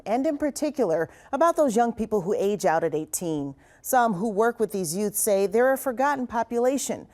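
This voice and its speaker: A woman with a medium-pitched, highly varied voice presents as a program host. Her delivery is scripted broadcast style, with lively rises and falls.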